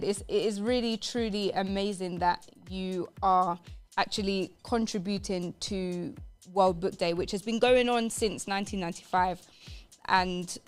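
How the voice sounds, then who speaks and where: Clear-voiced woman hosting radio. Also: medium pitch. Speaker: radio show host. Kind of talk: upbeat live radio presenting.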